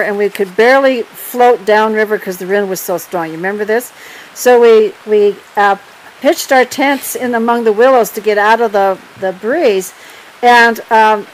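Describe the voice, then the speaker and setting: Lively older woman, slightly high voice. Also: slight background noise, poor audio. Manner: lively, laughs often, prompts partner. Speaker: storyteller. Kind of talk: casual storytelling.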